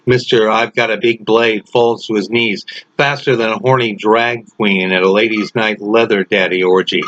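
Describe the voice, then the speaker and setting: A man reading a dark horror story aloud as its author, in a theatrical, dramatic delivery. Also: on the low side. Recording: noisy, dull lo-fi home recording.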